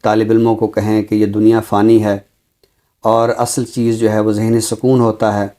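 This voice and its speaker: A Pakistani man speaking formally and deliberately as a literary commentator, with emphatic, repeated phrasing. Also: on the low side, flat delivery, mellow. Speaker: literary commentator on a talk show. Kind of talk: measured, formal literary discussion and poetry recital.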